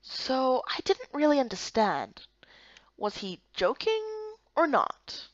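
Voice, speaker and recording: A woman voicing a spirited, curious young heroine of a fantasy game, raised and expressive in delivery. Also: slow, no room sound, highs cut off, heavily acted. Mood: curious, amazed. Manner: higher, louder, expressive.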